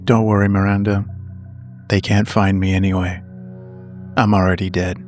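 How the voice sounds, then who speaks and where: A man roleplaying a resigned older fugitive in a tabletop game, speaking in a flat, understated way. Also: low-pitched, normal speed, close to an everyday voice. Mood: resigned, dry. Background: background music.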